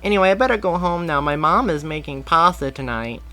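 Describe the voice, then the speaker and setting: A cheerful young boy, chatty and bluntly honest, pitched well up and excited, as a man reading the story puts him on. His voice is bright and forceful. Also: brisk. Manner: high, excited, giggly.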